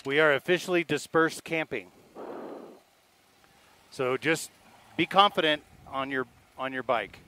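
A middle-aged man talking casually on a helmet headset outdoors, giving calm, reassuring directions. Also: a little high, unhurried, long pauses, a little hiss or hum. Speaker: motorcycle travel vlogger. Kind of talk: casual chat. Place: outdoors, forest meadow. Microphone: helmet headset mic.

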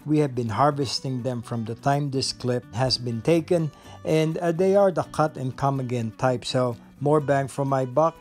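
A man's voice: mid-range voice, moderate pace. A man giving a voice-over garden tour, explaining his vegetable beds with eager enthusiasm and the odd filler. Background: soft guitar music.

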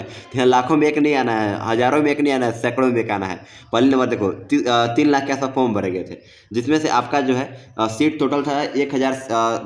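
Fast-talking man explaining. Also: medium pitch. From India. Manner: repetitive, reassuring, addresses viewers directly. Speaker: exam-prep video presenter. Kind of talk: informal explainer to viewers.

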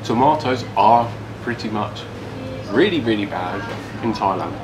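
A man's voice: on the low side, animated, measured pace, over music. A young man with an English accent giving a casual food review while eating at a table. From England. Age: young adult. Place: small room, seated at wooden table. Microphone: camera across the table. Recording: noisy ambient room recording.

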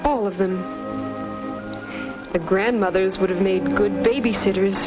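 A woman's voice: medium pitch, natural voice. An American actress in her twenties playing an earnest, devoted newlywed wife in a wholesome 1950s educational film style. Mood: wistful, reflective. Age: 20s. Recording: muffled vintage 1950s film soundtrack, music behind.

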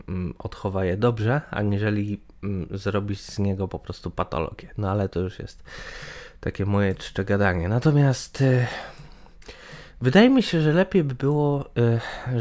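A man's voice: lowish voice, darkish tone. A young man in his twenties giving his opinion to camera, hesitant with many fillers and restarts, recorded on a webcam in a small room. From Poland. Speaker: gaming channel host. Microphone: webcam in corner of screen capture.

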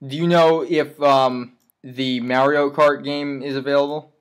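A man making a deadpan prank phone call, speaking in an earnest, polite and hesitant way. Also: medium pitch, a little slow, clear, resonant tone.

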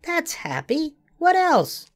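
A small young girl rabbit, eager and sleepy, piping her questions in a high, lilting storybook voice, as an older man puts her on. She bounces up and down in pitch and takes her time over each word. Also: wildly swooping pitch, takes it slowly, exaggerated voice. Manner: high, sing-song storybook voice.

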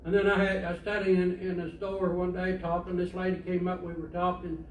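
Older preacher, echoing far off. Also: male voice, high-pitched, monotone, brisk. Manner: plainspoken storytelling, conversational. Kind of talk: sermon. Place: church sanctuary, indoors, standing at a pulpit. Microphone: far camera. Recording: echoey church from far off, faint hiss.

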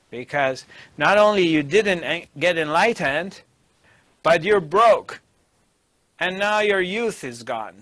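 A middle-aged man giving a spiritual discourse as a teacher, emphatic and deliberate, with pauses. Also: a little high, fairly bright tone, dry recording. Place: small dark indoor studio. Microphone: lapel mic.

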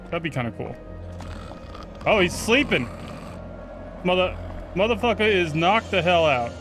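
A man giving casual first-look game commentary, excitable and sweary, reacting aloud and chatting to his viewers. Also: high voice, unhurried, over music. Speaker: gaming video host.

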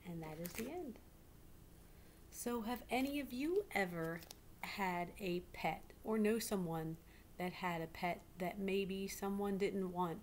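A middle-aged woman reads aloud expressively like a teacher, pausing to prompt young listeners. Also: on the low side. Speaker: teacher reading a picture book aloud to pupils. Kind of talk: read-aloud storytelling with questions to children. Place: small living room indoors. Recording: clearly noisy home webcam recording.

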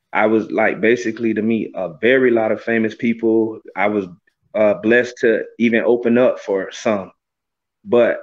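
A man in his thirties, a gospel singer being interviewed over a video call from a small room, talking in an earnest, reflective way with many "you know" fillers. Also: on the low side. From Florida, USA. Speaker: gospel recording artist, guest. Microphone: webcam on a video call, close framing. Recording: slightly dull lo-fi video call audio.

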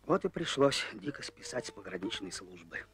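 Gruff man, widely swinging intonation. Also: fairly low pitch, sing-song, normal speed, music in the background. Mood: proud, earnest. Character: gruff grown man, former border guard, loyal dog owner. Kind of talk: animated film dialogue.

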